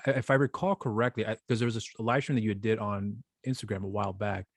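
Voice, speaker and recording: Man in his thirties, host, quick and rambling, lowish voice, dry close studio mic. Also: flat delivery, no echo. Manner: rambling, repeats himself in questions. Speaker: podcast host. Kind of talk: casual interview. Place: home studio with a shelf wall of tapes. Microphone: studio mic with headphones.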